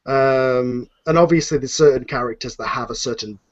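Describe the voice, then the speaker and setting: A man's voice: mid-range voice, normal speed. A man who writes an audio drama, talking enthusiastically in a casual panel chat, rambling with many fillers and restarts. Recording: muffled lo-fi recording.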